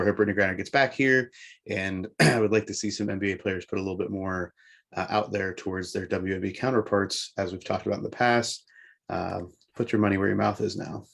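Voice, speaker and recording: A man co-hosting a casual sports talk show, speaking in a halting way as he works through his opinions. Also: on the low side, creaky, fairly bright tone.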